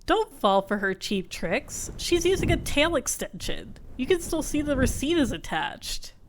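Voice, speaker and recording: A woman's voice: high-pitched, sing-song, a little slow, background noise. A woman playing a jealous, obsessive neko in an ASMR roleplay, smug and catty, sweetly possessive. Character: jealous, snide, obsessive cat person rival.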